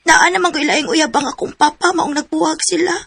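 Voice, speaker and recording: A woman's voice: high voice, a little fast, gravelly, a bit bright, no echo. A young woman playing a struggling student who confides her family troubles to a friend, in a radio drama. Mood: sad. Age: young adult.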